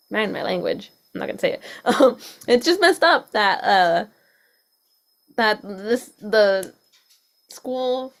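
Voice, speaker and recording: A young woman speaking as a panelist on a video call, casual and filling her sentences with "like.". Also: mid-range voice. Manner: casual, lots of "like", laughs often.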